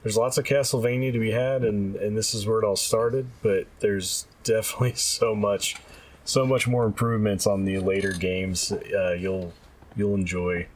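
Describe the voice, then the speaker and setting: A man co-hosting a casual video game podcast, talking in a halting, thinking-aloud way. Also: lowish voice, steady tone, a little hiss or hum. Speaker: podcast co-host. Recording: slightly distant from the mic.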